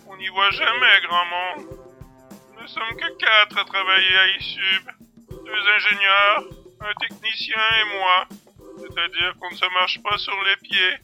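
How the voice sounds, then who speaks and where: Man acting a high-pitched, effusive factory director. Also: sharp tone, exaggerated voice. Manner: gushing, long-winded, formal. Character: effusive, rambling, excitable male factory director. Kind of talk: French audio drama acting.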